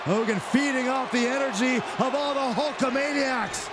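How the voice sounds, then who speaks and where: Excited high-voiced American wrestling commentator, male. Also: moderate pace, heavy background noise. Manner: excited, emphatic announcing. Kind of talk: professional sports commentary for a wrestling video game. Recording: very loud crowd and music behind. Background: roaring crowd and music.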